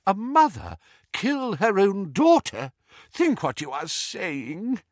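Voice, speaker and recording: A man doing a shrill, cackling old witch. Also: huge pitch swings, slow pace, no echo, studio quality, full character voice. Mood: gossiping. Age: older. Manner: cackling, needling, high-pitched. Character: shrill gossiping old witch, spiteful and relishing.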